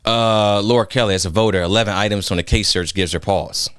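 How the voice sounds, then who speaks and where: Man, low voice, quick, dry sound, pressing host. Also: steady tone, fairly bright tone, clean tone, no echo. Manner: pressing, reads viewer comments aloud. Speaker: podcast host. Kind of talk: podcast interview.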